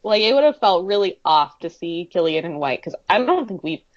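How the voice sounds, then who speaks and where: A woman's voice: sing-song, brisk, dry recording. A woman co-hosting a fan podcast, chatting enthusiastically and stretching words for emphasis. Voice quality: deep singing voice. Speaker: podcast co-host. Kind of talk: casual fan chat. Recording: dull, dry lo-fi audio.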